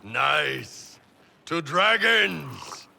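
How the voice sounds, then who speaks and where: An actor playing a boisterous, blunt horned warrior with a gravelly voice in a video game cutscene. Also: male voice, fairly high pitch, sing-song, pushed and bright, heavily acted. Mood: rowdy. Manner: blunt, unhurried, relishing. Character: boisterous horned Qunari warrior, hearty and dragon-loving.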